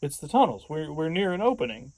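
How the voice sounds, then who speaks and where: A man's voice: a little high, very animated, fairly quick, darkish tone, no echo, poor audio. A man playing a sarcastic, distrustful, impatient younger man in an audio drama, blunt and sardonic. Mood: puzzled. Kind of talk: audio fiction podcast acting.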